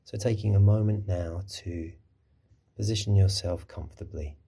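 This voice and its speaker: A man gently guiding a body scan meditation as a mindfulness teacher, in a soothing, unhurried manner. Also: low voice, husky.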